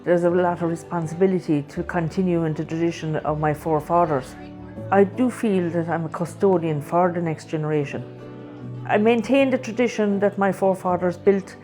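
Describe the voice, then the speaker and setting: An Irish-accented woman who runs a bar, speaking plainly and deliberately in a documentary interview. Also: on the low side, warm tone, faint music behind. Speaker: whiskey bar owner.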